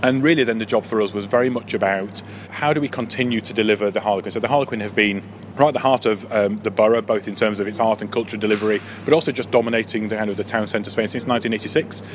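Bloke being interviewed, talks fast, explains stuff and repeats himself, slight background noise. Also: male voice, fairly low pitch. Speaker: council head of leisure, interview guest. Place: inside a big top tent. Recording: faint on-location background noise.

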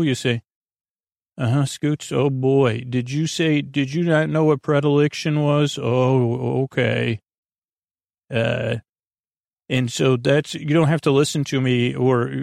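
A man hosting a bedtime podcast in a casual, rambling monologue, with a gravelly voice and a halting, meandering way of talking that circles back and drifts into tangents. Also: mid-range voice, no echo, clean studio sound. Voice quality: gravelly, creaky. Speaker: podcast host.

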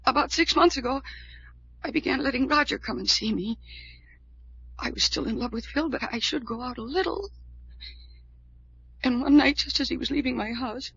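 Despairing young heiress, radio melodrama. Halting, forceful delivery, very husky, on a dull vintage broadcast. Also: female voice, sits high, exaggerated voice. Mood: tearful, guilt-ridden. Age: young adult. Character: despairing young wealthy heiress who believes she is cursed. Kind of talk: 1940s radio acting. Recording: dull old-time radio recording.

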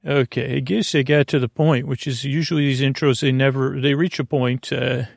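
Gravelly, creaky man rambling at bedtime. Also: medium pitch, steady tone, dry recording, studio quality. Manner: hesitant, halting, trailing off into tangents. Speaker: host of a sleep podcast. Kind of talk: rambling, meandering bedtime monologue.

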